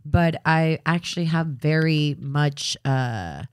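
Low-voiced laughing woman podcaster. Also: takes it slowly, darkish tone, clean tone, no room sound, studio quality. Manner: laughs often, playful and chatty. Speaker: podcast co-host. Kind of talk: casual chat.

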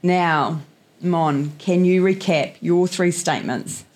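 A woman's voice: on the low side, slow pace, a little mellow. A woman hosting an interview, encouraging her guests with short affirmations. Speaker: podcast host.

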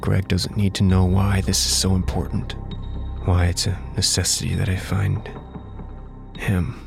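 A man acting a guarded, brooding character in his late twenties in a radio drama, measured and hesitant, with a suspicious edge. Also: sits low, monotone, gravelly, over music. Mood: tense, brooding. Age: late 20s.

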